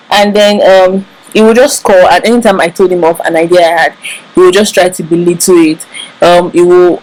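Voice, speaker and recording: A woman's voice: lowish voice, darkish tone, poor audio. A woman from Nigeria with a Nigerian English accent, giving relationship advice to camera in an earnest, emphatic way. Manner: earnest, emphatic, repeats words for stress. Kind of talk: casual advice talk to camera.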